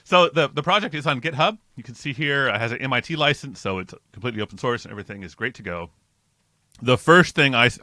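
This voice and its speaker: Upbeat man, bright close studio mic. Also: fairly low pitch, dry recording. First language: not Dutch. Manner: chatty, upbeat, frequent restarts. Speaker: host. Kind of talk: casual interview and code review. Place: home office with bookshelves, indoors. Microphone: large studio mic and headphones, close.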